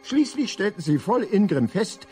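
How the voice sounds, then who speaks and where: An actor playing a pompous, wry guidebook narrator in a comic science-fiction radio play. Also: male voice, high voice, big pitch swings, moderate pace, mellow, faint music behind. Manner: mock-scholarly, pompous. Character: wry, learned male narrator of a galactic guidebook.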